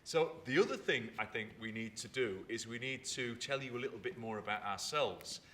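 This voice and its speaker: A man in his fifties, from England, telling stories about his upbringing in an unhurried way and repeating words for emphasis, recorded in a theatre. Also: medium pitch, expressive, sharp tone. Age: 50s. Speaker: storyteller and writer. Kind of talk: reflective storytelling chat.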